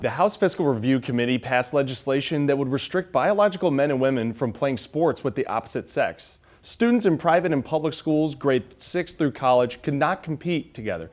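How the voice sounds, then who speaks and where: A young American man reads scripted local news as a TV reporter. His pitch sits in an ordinary middle range, and the delivery is that of a prepared field report. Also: lively intonation, brisk, hardly any pauses.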